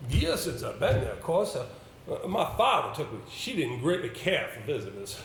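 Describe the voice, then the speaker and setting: An echoey theatre recording of an actor as an older male solicitor. He is avuncular and musing, with a gravelly creak and lively swings of pitch as he rambles hesitantly. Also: normal speed, heavily acted. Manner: hesitant, musing, throat-clearing. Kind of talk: amateur stage play acting. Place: indoor stage, picked up with room sound. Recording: roomy, noisy amateur theatre recording.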